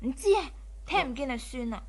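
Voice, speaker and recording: An actress playing a gentle, devoted and coy young wife in a radio drama, soothing in manner. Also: female voice, sits high, expressive, brisk. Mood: coy, teasing. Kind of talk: Cantonese radio drama acting.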